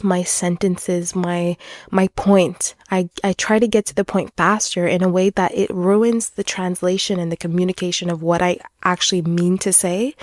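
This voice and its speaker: A woman's voice: mid-range voice, darkish tone, no room sound. A woman in her twenties, a native French speaker, hosting a casual, candid solo podcast in a chatty, earnest, self-deprecating way into a close microphone. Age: 20s. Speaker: podcast host.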